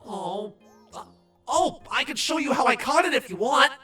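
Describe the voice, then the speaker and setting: A woman's voice: high voice, lively intonation, moderate pace, pushed and bright, distant mic, faint music behind. A woman voicing a proud, innocent young changeling schoolboy in an audio drama. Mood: awkward, innocent. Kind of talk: fan audio drama acting.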